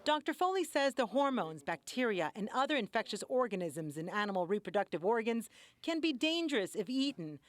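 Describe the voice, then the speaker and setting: A woman's voice: fairly high pitch, big pitch swings, fairly quick. A woman reading a scripted investigative television news report as the reporter.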